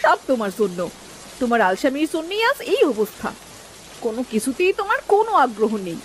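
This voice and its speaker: A woman's voice: high voice, brisk, poor audio. A woman playing a worried, scolding village wife and mother in a cartoon, with rain heard behind her. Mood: worried, reproachful. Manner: nagging, exasperated. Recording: steady rain noise behind voice.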